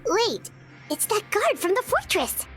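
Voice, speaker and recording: A woman voicing an excitable tiny floating girl companion in a video game, speaking in eager exclamations. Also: very high voice, unhurried, faint music behind, heavily acted. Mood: excited.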